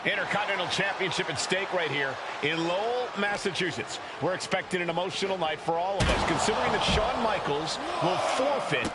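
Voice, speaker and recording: A man's voice: high voice, lively intonation, a little fast. A man playing an earnest, dramatic play-by-play announcer in a scripted wrestling game commentary. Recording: loud arena noise behind. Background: arena ambience and ring thuds.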